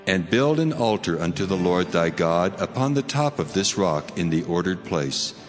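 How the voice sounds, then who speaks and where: A male actor plays a solemn, almighty heavenly voice in a dramatized Bible. It is very deep and measured, giving commands in archaic phrasing. Long reverb surrounds every word, with soft music underneath. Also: very animated. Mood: commanding. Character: God and his angel, solemn, commanding, unhurried divine voice. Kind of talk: dramatized audio Bible acting. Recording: long reverberant hall effect.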